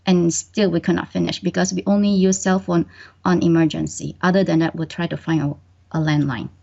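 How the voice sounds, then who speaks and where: A woman's voice: on the low side, flat delivery, fairly quick, gravelly, warm tone. A middle-aged woman from Indonesia, raised in Malaysia, answering interview questions hesitantly with many ums. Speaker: bookkeeper and guest. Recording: dull lo-fi audio.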